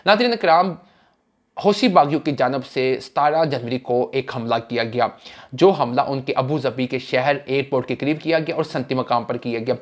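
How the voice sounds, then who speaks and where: A man's voice: mid-range voice, moderate pace. A man giving spoken news commentary, formal, addressing viewers directly, with frequent restarts and fillers.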